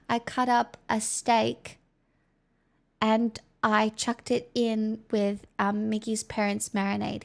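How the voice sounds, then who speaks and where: Young Australian woman streamer, frank and rambling, a touch high, with a gravelly edge. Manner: rambling, frank, talks to chat. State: voice feels strained. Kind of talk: casual live-stream chat.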